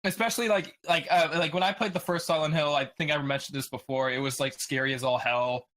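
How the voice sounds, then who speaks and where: A man with a fairly high voice sits in on a casual podcast discussion. He talks in a loose, rambling way, sprinkling "like" through his sentences. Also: steady tone, quick pace, a bit bright. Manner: rambling, peppered with "like". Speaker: podcast panelist.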